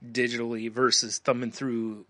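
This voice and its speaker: Guy cohosting a podcast, bright punchy voice, rambly casual chat, super dry sound. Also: medium pitch, little pitch movement, normal speed, no room sound. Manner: rambling, conversational, often says "you know". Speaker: podcast co-host.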